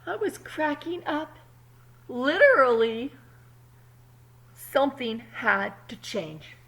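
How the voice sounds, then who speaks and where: Woman reading as an earnest little storybook egg, bright-voiced. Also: high voice, big pitch swings, pitched above the performer's own voice, exaggerated voice. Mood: strained. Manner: emphatic, drawn-out storybook delivery. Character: earnest, dutiful little egg, eager to be good. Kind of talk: first-person children's storybook narration.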